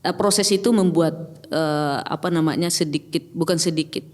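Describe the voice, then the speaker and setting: A woman's voice: low-pitched, moderate pace. A middle-aged woman with a Batak accent, telling her own story as a podcast guest, reflective and hesitant, with many fillers and restarts. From Batak, North Sumatra. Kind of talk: personal testimony in casual chat. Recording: long reverb with faint music.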